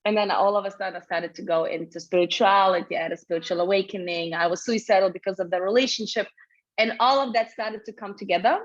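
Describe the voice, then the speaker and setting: A woman's voice: mid-range voice, fast talker, muffled recording. Woman with a Ukrainian accent on a video call. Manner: effusive, multi-passionate storytelling with laughs. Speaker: guest. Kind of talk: casual video interview.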